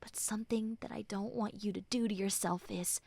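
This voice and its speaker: A woman playing a gruff tsundere friend who has turned tender and vulnerable, speaking softly and earnestly in an intimate roleplay audio. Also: mid-range voice, steady tone, brisk, no echo. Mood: flustered, caring. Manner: earnest, hesitant, soft, often dropping to breathy near-whispers.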